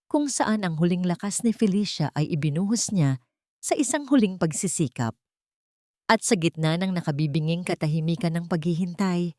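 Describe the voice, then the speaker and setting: A woman's voice: lowish voice, warm tone, no echo, clean studio sound. A woman narrating a scripted dramatic audio story in a solemn, literary storytelling manner.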